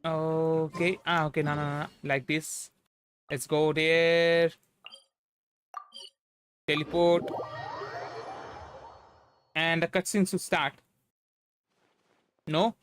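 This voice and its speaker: A man's voice: fairly high pitch, level tone, slow pace, long pauses, a bit bright, a little hiss or hum. A man giving casual gameplay commentary as a streamer, muttering to himself in short phrases. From India. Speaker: streamer, virtual avatar host. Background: faint game music.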